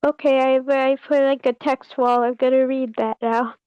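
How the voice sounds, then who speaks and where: A woman's voice: high-pitched, level tone, moderate pace, clear, resonant tone, no room sound. A woman chatting casually as a participant in an online group meeting, heard through lo-fi audio. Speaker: table read participant. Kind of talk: casual chat.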